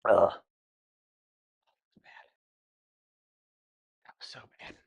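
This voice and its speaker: A man chatting casually on a livestream in a halting, grumbling manner, often repeating his words. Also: muffled recording. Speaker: streamer. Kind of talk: casual chat while tasting food on a livestream.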